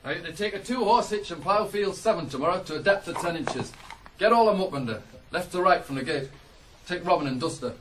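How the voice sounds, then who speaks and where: An actor playing a proud, gruff farm wagoner with a blunt, needling manner in a 1980s radio drama. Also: male voice, high voice, animated, a little fast, background noise. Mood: gloating.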